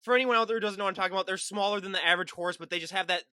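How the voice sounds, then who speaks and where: A young man hosting a podcast, talking fast and rambling through restarts and fillers. His voice is bright and a bit high for a man, recorded dry and close with no room sound. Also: steady tone, clear, resonant tone, dry recording. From Minnesota, USA. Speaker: podcast host. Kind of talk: casual interview chat.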